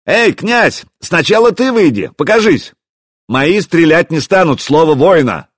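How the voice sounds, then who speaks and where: A man voicing a defiant, mocking warrior chieftain who calls out loudly across a distance in an audiobook. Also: medium pitch, animated, slow pace, no echo, clean studio sound. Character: defiant, taunting young warrior chieftain shouting challenges.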